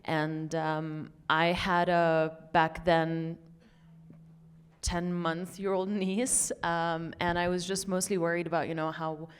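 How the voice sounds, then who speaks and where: A woman from Lebanon in her thirties speaks in a low, smooth, resonant voice. She answers thoughtfully, pausing often with fillers as she gathers her words. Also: flat delivery. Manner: reflective, hesitant with many fillers. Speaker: filmmaker guest. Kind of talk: conversational Q&A answers.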